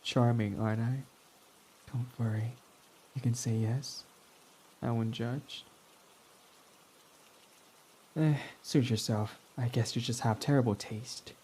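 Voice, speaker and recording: A man's voice: mid-range voice, mellow, natural voice. A man voicing a prickly, snarky male villain in an ASMR roleplay, talking in a sarcastic, mocking, dismissive way. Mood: snide.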